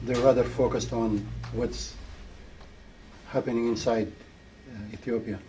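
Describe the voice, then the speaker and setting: Moderator, man, dark mellow voice, a bit halting, slightly distant with faint hum. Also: mid-range voice, fairly even intonation. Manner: hesitant, restarting phrases. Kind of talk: formal panel discussion.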